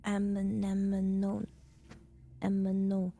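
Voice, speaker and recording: A woman playing a playful little female ghost in an ASMR roleplay, speaking in halting, repeated short phrases over a noisy background. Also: mid-range voice, monotone, vocal fry, warm tone. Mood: babbling.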